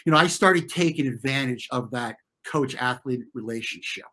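A man's voice: medium pitch, pushed and bright. Middle-aged American man reflecting in conversation. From US, New York and New Jersey. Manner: informal and halting, circling back to rephrase. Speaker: interview guest, former competitive swimmer.

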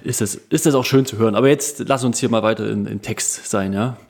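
Husky man with a Saxon accent. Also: on the low side, brisk, a little mellow. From Saxony, Ore Mountains, Germany. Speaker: podcast co-host. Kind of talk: casual chat.

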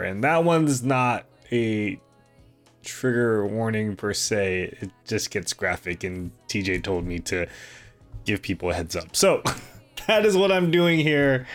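A man hosting a podcast, gently introducing the next guest. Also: fairly low pitch. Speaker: podcast host.

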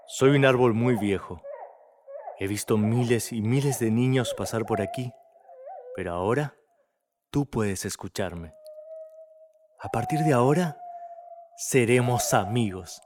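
A man playing a very old, gentle, wise tree in an audio drama, speaking slowly and solemnly. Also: mid-range voice, noisy background, lightly acted. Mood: solemn, warm. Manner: slow, solemn delivery.

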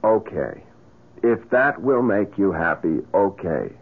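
An actor playing a polite, persuasive and somewhat hesitant private investigator in an old-time radio drama. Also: male voice, sits low, expressive, slow, music in the background, heavily acted. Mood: confident. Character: smooth-talking, hesitant private investigator, a man with a scheme. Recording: muffled, tinny old radio recording.

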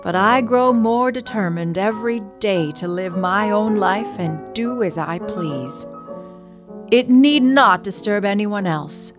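A woman's voice: medium pitch, expressive, slow pace, music in the background. An actress voicing an independent, opinionated woman of the early twentieth century in a dramatic letter reading, candid and reflective. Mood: resolute.

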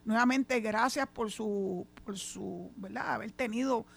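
A woman's voice: fairly high pitch, measured pace, creaky, husky. A middle-aged woman with a Puerto Rican accent hosting a radio talk show, outspoken and emphatic. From Puerto Rico. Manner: outspoken, emphatic, laughs easily. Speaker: radio host. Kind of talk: casual on-air radio chat. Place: radio studio.

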